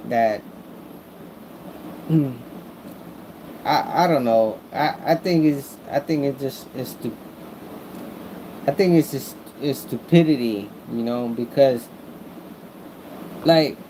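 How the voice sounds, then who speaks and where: A man with an American accent talking casually as a vlogger, rambling through his opinions in a repetitive, halting way as he searches for words. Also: medium pitch, mellow, a little hiss or hum, low-quality recording. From Mexican-American, born in the United States.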